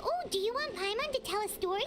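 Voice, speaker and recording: Squeaky little fairy sidekick. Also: female voice, expressive, fast talker, over loud music. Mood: chirpy, eager. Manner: exaggerated, squeaky, talks of herself in third person. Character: tiny chatty cheerful childlike female fairy companion. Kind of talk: English video game voice acting.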